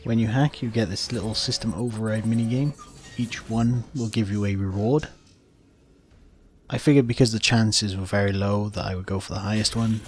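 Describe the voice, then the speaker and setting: A man's voice: on the low side, steady tone, moderate pace. A man giving explanatory, matter-of-fact commentary as he hosts a video game walkthrough. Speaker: gaming video host. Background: video game music and sounds.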